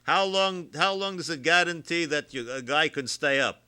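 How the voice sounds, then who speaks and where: A man hosting a comedy radio show, teasing his guest in a deadpan manner and stammering on restarts. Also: a little high, moderate pace, slight background noise.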